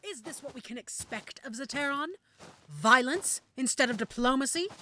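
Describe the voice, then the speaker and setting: A woman's voice: high-pitched, moderate pace, background noise, lightly acted. A woman playing a haughty, treasonous older queen and mother in a fantasy audio roleplay, imperious and indignant in manner.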